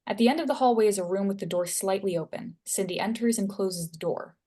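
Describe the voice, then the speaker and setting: A woman's voice: medium pitch, fast, dark tone. A woman reading stage directions as scripted narration.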